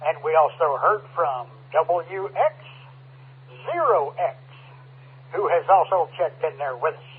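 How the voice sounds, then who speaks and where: A man hosting an amateur radio check-in net in a folksy, courteous way with formulaic calls, husky, heard over two-way radio. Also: a little high, a little slow. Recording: narrowband two-way radio audio.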